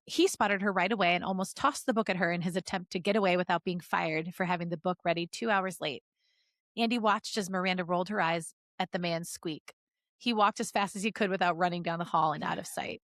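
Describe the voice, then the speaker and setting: A woman podcast host reading fan fiction aloud as an expressive story narrator. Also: mid-range voice, no room sound, clean studio sound.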